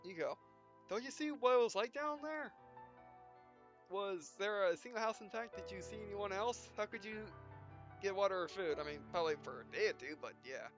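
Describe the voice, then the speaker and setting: A man's voice: sits high, normal speed. A man doing a let's play, reading game dialogue aloud in a rambling, stumbling way with filler syllables and asides. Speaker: gaming video host. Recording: muffled lo-fi mic, music behind. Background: game music.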